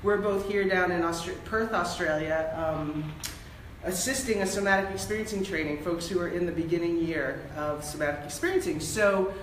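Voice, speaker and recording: A woman's voice: fairly low pitch, normal speed. A woman from the US East Coast hosting a live interview stream, upbeat and bubbly with many ums. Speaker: podcast host. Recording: echoey room with light hiss.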